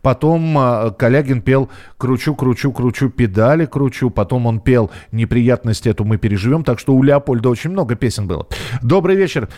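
A man hosting a casual radio talk show, halting and searching for words as he chats and reads out listeners' messages. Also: mid-range voice, warm tone.

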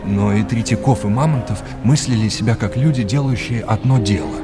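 A man acting as an erudite art historian with a deep voice. He is a wry mentor in an audio play, lecturing with old-fashioned courtesy and gentle irony over clearly audible music. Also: fairly quick, natural voice. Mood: expansive, informative. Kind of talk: naturalistic audio drama acting.

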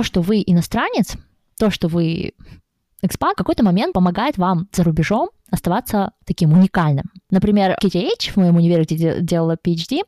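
A woman's voice: mid-range voice, mellow, dry recording, studio quality. A woman in her thirties co-hosting a casual podcast, talking directly and frankly as she advises listeners. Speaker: podcast co-host.

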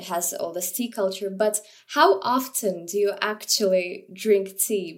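A young woman interviewing a guest, asking curious, playful questions in a casual chat. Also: mid-range voice.

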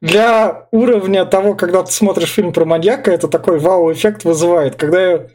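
A man's voice: high voice, normal speed, darkish tone. A man chatting casually and colloquially about a film as a podcast co-host.

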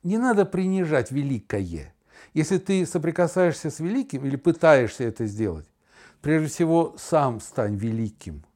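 A middle-aged or older man chats on a podcast. His voice is mellow and slightly high for a man, and he holds forth with opinionated, playful lecturing. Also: big pitch swings, normal speed. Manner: opinionated, mock-lecturing, wordplay. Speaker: podcast co-host, father talking with his daughter. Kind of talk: casual podcast chat.

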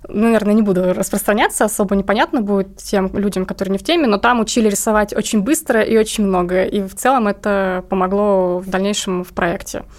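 A woman's voice: medium pitch, fairly even intonation, fast talker, few pauses. A woman speaking casually as an interview guest, talking about her own work as an illustrator.

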